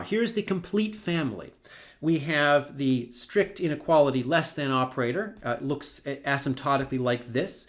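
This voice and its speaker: A middle-aged man lecturing at a mid pitch for a man, in an easy conversational tone. He drops in dry, wry asides and often stops to restart a phrase with a filler. The delivery is relaxed and thinks aloud. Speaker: university lecturer. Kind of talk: recorded lecture.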